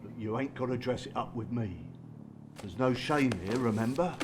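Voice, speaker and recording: A man's voice: medium pitch, normal speed, background noise, close to an everyday voice. An actor playing a blunt, streetwise male mentor in an audio drama, with a working-class southern English accent and colloquial, pressing talk. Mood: insistent. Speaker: support group mentor. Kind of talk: naturalistic audio drama acting.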